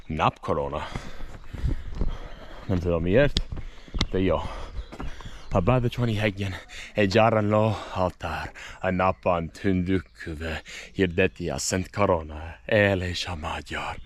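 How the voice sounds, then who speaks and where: A man narrating a casual walking travel vlog, telling local tales in a playful, theatrical way with dramatic pauses. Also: low voice, a little hiss or hum. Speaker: vlog host and storyteller. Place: outdoors, walking in a hilly lakeside village and vineyards.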